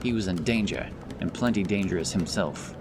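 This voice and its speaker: Deep-voiced man narrating fantasy over music. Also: brisk. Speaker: audiobook narrator. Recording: music bed behind the voice. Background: background music and effects.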